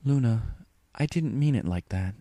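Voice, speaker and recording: A man voicing a gentle, caring older sister, a royal mare in a storybook reading, soft and reassuring. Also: medium pitch, lively intonation, moderate pace, no echo, studio quality, pitched above the performer's own voice, lightly acted. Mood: gentle concern.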